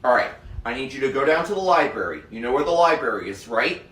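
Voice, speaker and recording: A man playing a strict but caring schoolteacher who scolds sternly and comforts softly. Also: medium pitch, very animated, fast. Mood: stern, scolding. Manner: stern and scolding, then soft and consoling. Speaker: teacher in a classroom skit. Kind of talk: comedic classroom sketch. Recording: roomy echo, distant mic.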